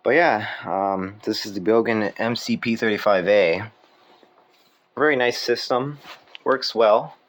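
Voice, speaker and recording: Low-voiced man giving a casual demo. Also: a little slow, a bit bright. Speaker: hobbyist presenter demonstrating equipment. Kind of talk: casual hands-on demonstration. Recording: clear background noise.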